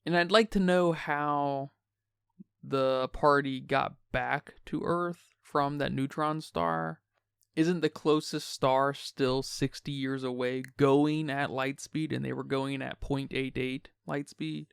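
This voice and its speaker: A man's voice: medium pitch, steady tone, slow, gravelly, clear, resonant tone, no echo, studio quality. A man hosting a podcast, casually discussing a film and addressing his listeners. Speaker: podcast host.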